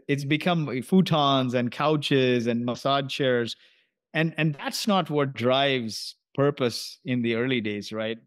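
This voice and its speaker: A man hosting a podcast interview, affirming his guest warmly and building up long, enthusiastic questions. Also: mid-range voice, no echo, studio quality. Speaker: podcast host.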